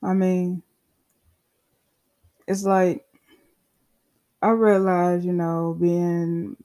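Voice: mid-range voice, completely flat delivery, drawn-out words, mellow. A podcaster in their twenties talking in a candid, rambling solo monologue full of swearing and side remarks. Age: 20s. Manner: candid, profane, rambling with asides. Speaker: podcast host.

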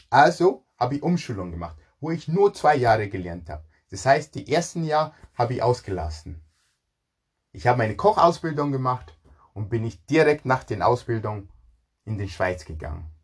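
Guy in his 40s, lively swoopy pitch, bit husky, telling his own story. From Ghana, moved to Germany at thirteen. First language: not German. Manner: animated, emphatic, repeats words for emphasis. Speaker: speaker telling own career story, cook. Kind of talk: informal personal storytelling to an audience.

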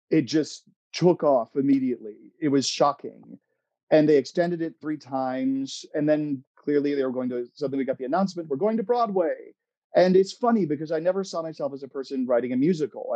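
A middle-aged American man chatting casually as an interview guest, telling anecdotes in a halting, expressive, self-amused way. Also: medium pitch, no echo, dull audio. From Oregon, United States. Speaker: interview guest, playwright and screenwriter.

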